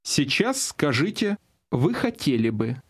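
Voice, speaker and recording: Male course narrator, fairly high and slightly rough voice, instructional delivery for audio lessons. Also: very animated, slow.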